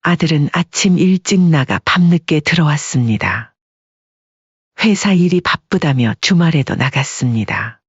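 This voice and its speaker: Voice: mid-range voice, lively intonation, unhurried, husky, no room sound, highs cut off. A narrator reading a scripted family story in a storytelling style.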